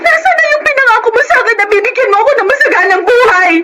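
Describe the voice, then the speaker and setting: A woman's voice: very high-pitched, expressive, quick pace, very noisy. An actress playing a long-suffering, emotional wife and mother with a reproachful edge in a radio drama. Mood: furious, tearful.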